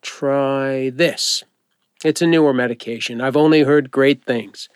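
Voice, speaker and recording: A man playing a friendly, informal doctor who gently encourages and reassures a patient in an audio drama. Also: mid-range voice, fairly even intonation, a little slow, smooth, no echo, studio quality, close to an everyday voice. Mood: matter-of-fact. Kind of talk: naturalistic audio drama acting.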